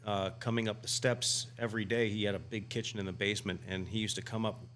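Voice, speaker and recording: Low-voiced man chatting casually. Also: fairly even intonation, fast talker, fairly bright tone, slight background noise. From United States. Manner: rambling, many restarts and fillers. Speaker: guest homebrewer. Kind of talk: casual podcast conversation.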